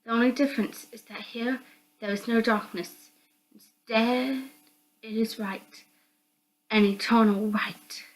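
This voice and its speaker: A woman's voice: a little high, fairly even intonation, measured pace, pauses often, poor audio. A woman reading a visual novel game aloud as dramatic narration. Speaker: host of a visual novel read-through. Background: background music.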